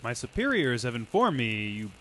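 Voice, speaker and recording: A man's voice: mid-range voice, very animated, normal speed, fairly bright tone, slight background noise, low-quality recording. A man playing a brash, nosy 1920s newspaper reporter in theatrical old-time radio style. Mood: skeptical, curious. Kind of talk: 1940s radio acting.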